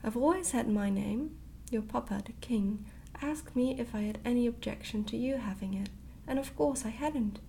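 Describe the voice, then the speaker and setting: A woman plays a mysterious old great-great-grandmother from a fairy tale. The speech is calm, kindly and half-whispered, with vocal fry and a soft, dark tone over faint noise. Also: on the high side, moderate pace. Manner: calm, patient, gently cryptic. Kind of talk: hushed ASMR storybook reading.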